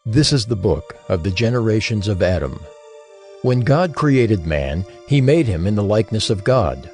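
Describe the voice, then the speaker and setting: A man's voice: fairly low pitch, big pitch swings, moderate pace, a little mellow, faint music behind. A man narrating scripture from a script in a declarative storytelling manner.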